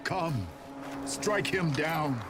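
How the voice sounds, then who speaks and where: An actor playing a grave, stern warrior leader in a dramatised audio Bible, speaking solemnly and deliberately. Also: male voice, on the high side, expressive, loud music behind the voice. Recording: clearly audible background noise and ambience.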